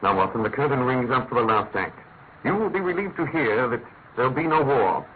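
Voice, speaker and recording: An actor playing a shrewd, imperious master detective in a classic radio drama, incisive and urgent. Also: male voice, medium pitch, very animated, brisk, lightly acted. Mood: confident. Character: brisk, commanding, shrewd male master detective. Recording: tinny, narrow-band old radio, faint noise.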